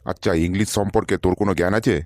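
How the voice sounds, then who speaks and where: A man's voice: low-pitched, level tone, rapid-fire, a little mellow, dry recording, studio quality, natural voice. A man voicing an irritable, sarcastic boss in a comedy cartoon, scolding and dismissive. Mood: stern, questioning. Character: irritable, sarcastic male boss interviewing job seekers.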